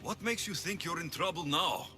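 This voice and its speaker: An actor playing a confident, sardonic ancient Greek mercenary hero in a video game, speaking in a wry, blunt way. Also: male voice, on the high side, expressive, normal speed, pushed and bright, faint music behind. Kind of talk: video game character acting.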